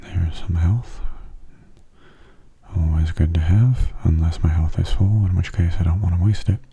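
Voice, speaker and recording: Hushed, very low breathy man. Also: lo-fi sound. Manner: calm, unhurried, musing aloud. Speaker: gaming ASMR host. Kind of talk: soft-spoken ASMR game commentary. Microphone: close microphone.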